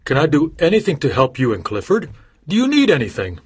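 A male audiobook narrator playing a greedy old judge who turns from false friendliness to threats, in a husky voice. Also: fairly high pitch, huge pitch swings, brisk, exaggerated voice. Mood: falsely friendly. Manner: smooth, menacing. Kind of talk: audiobook character voice.

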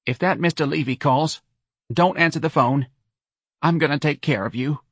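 A man voicing an anxious, pleading older mother from New with a high, quavering voice. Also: normal speed, a bit bright, no echo, studio quality.

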